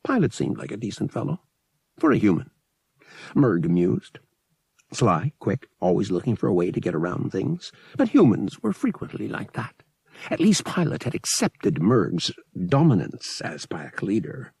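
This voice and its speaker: Male narrator for sci-fi audiobook: lowish, gravelly voice, expressive storytelling delivery, dry close sound. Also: moderate pace, no room sound. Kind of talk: scripted dramatic narration of science fiction.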